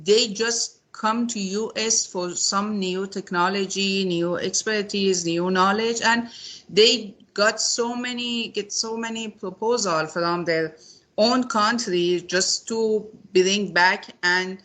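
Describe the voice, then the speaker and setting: A woman conducts an interview at an unhurried pace, her voice bright and a little sharp. She speaks in a measured, hesitant way, restarting her phrases. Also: medium pitch. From Iran. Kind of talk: interview conversation in English.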